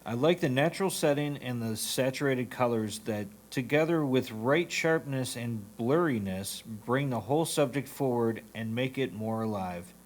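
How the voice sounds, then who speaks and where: Man, medium pitch, competition reader, scripted critique read aloud. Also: expressive, normal speed, hardly any pauses. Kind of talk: reading written photo critiques aloud.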